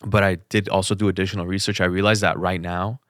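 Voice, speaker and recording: Deep voiced guy chatting on a podcast, blunt opinions, really dry sound. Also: little pitch movement, fast talker, a bit bright, no echo. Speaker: podcast co-host. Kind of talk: casual chat.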